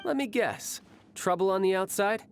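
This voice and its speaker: A man's voice: high voice, normal speed, slight background noise. An actor playing a cocky, battle-hungry young male warrior in a video game, speaking in a composed, teasing, theatrical way. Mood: probing, confident. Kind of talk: scripted video game cutscene acting.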